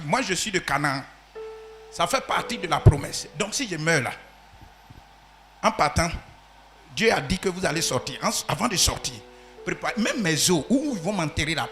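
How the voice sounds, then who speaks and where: Pastor guy preaching, high bright voice, shouts then drops soft, faint music behind. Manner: preaching with loud shouted peaks and sudden soft asides. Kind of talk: sermon.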